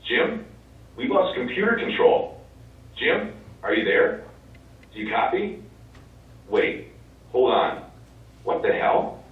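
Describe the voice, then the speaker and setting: A man's voice: medium pitch, very animated, slow, long pauses. A man playing a businesslike Mission Control officer speaking over the radio in a stage drama. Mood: alarmed, urgent. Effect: radio transmission. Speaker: stage actor in a one-act play. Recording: very echoey, distant, tinny phone-like radio sound.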